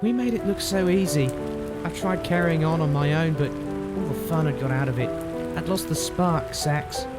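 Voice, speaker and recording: A woman's voice: low voice, moderate pace, over loud music, close to an everyday voice. A woman voicing a tough, bitter, world-weary adventurer in a dramatic comic dub. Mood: weary regret.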